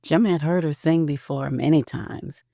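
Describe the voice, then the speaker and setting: A woman's voice: low-pitched, normal speed, vocal fry, warm tone, no room sound, clean studio sound. A woman narrating a scripted audio drama as storyteller.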